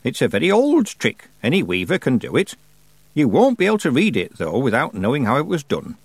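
A man voicing an ancient female witch, stern and sly, in a slow, drawn-out, lofty manner for an audiobook. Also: medium pitch, wildly swooping pitch, slightly rough, no room sound, heavily acted. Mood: brisk.

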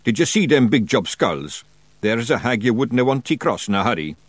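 A man voicing a big, gruff blue fairy man with a Scottish accent and a blunt manner. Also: mid-range voice, sing-song, brisk, no echo.

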